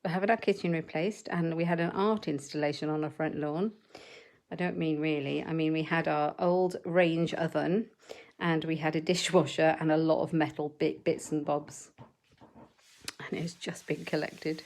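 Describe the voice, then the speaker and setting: A woman demonstrating card-making in a chatty, step-by-step way, her voice a little croaky. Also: low-pitched, a little mellow. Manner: chatty, measured step-by-step instructions. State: slightly croaky voice. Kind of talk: live crafting tutorial.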